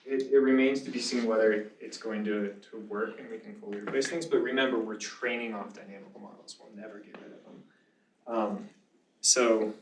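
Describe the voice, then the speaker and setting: Low-voiced man in a roomy, distant recording. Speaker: lecturer, speaker answering questions. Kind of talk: informal question-and-answer after a talk. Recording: roomy lecture sound, distant mic, faint noise.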